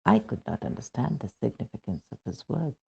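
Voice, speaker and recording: Man, very creaky gravelly voice, dark mellow tone, expressive devotional narration, dull lo-fi recording. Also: mid-range voice, fairly quick. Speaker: reader of devotional testimonials. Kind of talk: scripted narration of a spiritual testimony.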